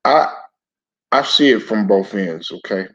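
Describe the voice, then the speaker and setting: A man with a low, raspy voice, hoarse from a throat bug, talks slowly and loosely to his viewers about sports. He keeps stopping, restarting and clearing his throat. The sound is dull and lo-fi, like a cheap home setup. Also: animated. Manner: laid-back, stop-start, repeats words. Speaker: sports commentary channel host. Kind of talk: casual live-stream talk to viewers.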